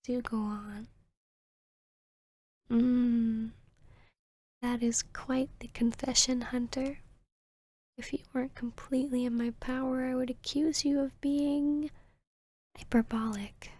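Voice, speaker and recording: A woman voicing an arrogant, seductive vampiress hypnotist in a whispered ASMR roleplay, with a breathy, smug, teasing delivery. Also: on the high side, level tone, a little mellow. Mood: probing, smug. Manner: slow, smug, teasing, deliberate.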